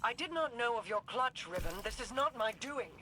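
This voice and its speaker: A woman playing a regal, stern queen in a video game, composed and commanding, with a measured, formal way of speaking. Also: fairly high pitch, animated, pushed and bright, a little hiss or hum. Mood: defensive, grave. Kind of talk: dramatic video game acting.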